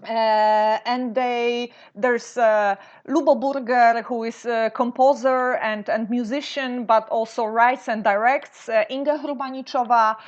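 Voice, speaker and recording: Hesitant Polish woman, lo-fi sound. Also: a little high. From Poland. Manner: hesitant, many fillers and restarts. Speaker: guest. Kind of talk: interview conversation. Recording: dull lo-fi sound.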